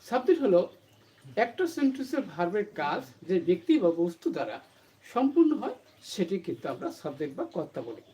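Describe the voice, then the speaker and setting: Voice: medium pitch, sing-song, moderate pace, dark tone, low-quality recording. A teacher explaining a lesson to students, posing questions and then answering them, with English terms mixed in. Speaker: English grammar teacher for an online channel.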